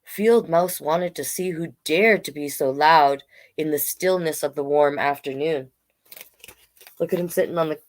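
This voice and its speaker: A woman hosting a storytime, reading picture books aloud in an informal way with chatty asides. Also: low voice. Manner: informal, interjects comments while reading. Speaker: drag king storyteller, host.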